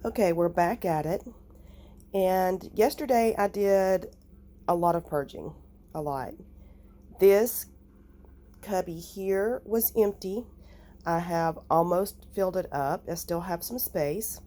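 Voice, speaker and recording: A woman with a raspy, nasal, hoarse voice, talking through her crafting clean-out in an unhurried, thinking-aloud vlog. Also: lowish voice, warm tone. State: recovering from illness, hoarse. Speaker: crafting vlogger. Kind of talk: casual vlog talk and voiceover.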